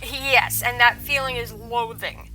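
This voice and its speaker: A woman's voice: fairly high pitch, fairly even intonation, a little slow, over music, close to an everyday voice, poor audio. An actress playing a scornful, sardonic female adventurer in an audio drama, with a dry, cutting manner.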